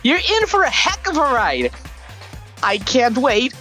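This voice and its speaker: A woman's voice: high voice, huge pitch swings, measured pace, sharp tone, faint music behind, full character voice. A woman voicing a gleefully sadistic robot bear game master in a theatrical, taunting anime fandub style.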